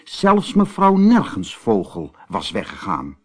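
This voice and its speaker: A man reading a children's fairy tale expressively and without hurry, as a storyteller. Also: lowish voice. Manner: expressive, unhurried read-aloud.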